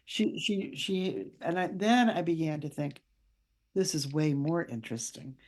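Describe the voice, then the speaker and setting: A woman's voice: deep voice, lively intonation, measured pace, darkish tone. An older woman, a guest author and writing teacher, speaking conversationally in an interview over a video call.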